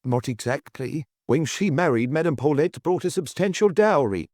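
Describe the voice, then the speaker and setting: A man's voice: fairly high pitch, expressive, normal speed, a little mellow, no echo, studio quality, voice pushed up. A man voicing a nervous, cautious male bookkeeper who gives guarded answers in an audiobook. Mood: guarded, uneasy. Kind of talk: audiobook character dialogue.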